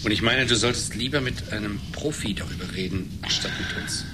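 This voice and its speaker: An actor in a German radio play playing a sober, sceptical former friend who speaks in a measured, dry, reproachful way. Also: male voice, close to an everyday voice. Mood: sceptical concern. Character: sceptical, scornful old friend, sober captain. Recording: noisy 1980s radio recording with rumble.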